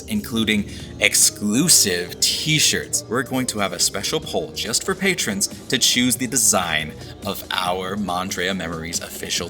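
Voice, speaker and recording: A man speaking as a podcast's creator and host, giving a scripted message to his listeners. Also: on the low side.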